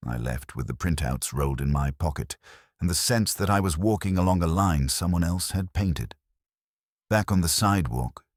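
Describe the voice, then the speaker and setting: A man with an exceptionally low voice narrates detective fiction. His delivery is wry and hard-boiled, with an animated range of pitch, recorded close and dry. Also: no room sound. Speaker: audiobook narrator. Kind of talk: first-person detective story narration.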